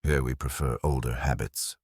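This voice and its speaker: A man's voice: a bass voice, fairly even intonation, moderate pace, darkish tone, slightly rough, no room sound, clean studio sound. A man voicing an imperious, exacting detective who speaks formally and mildly, with precise, cutting delivery in an audiobook. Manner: formal, mild-spoken, precise.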